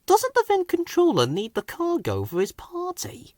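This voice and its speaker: A man voicing a gentle, obliging, wistful dockside crane in a children's storybook. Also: very high-pitched, wildly swooping pitch, moderate pace, no room sound, studio quality, voice pushed up, heavily acted. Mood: puzzled.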